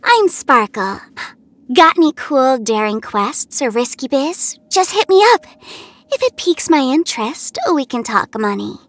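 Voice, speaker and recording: A woman's voice: sits high, expressive, measured pace, no room sound. A woman voicing a mischievous, playful young trickster in a video game, with a teasing, theatrical, sing-song delivery. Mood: playful, cheeky. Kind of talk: video game character voice acting.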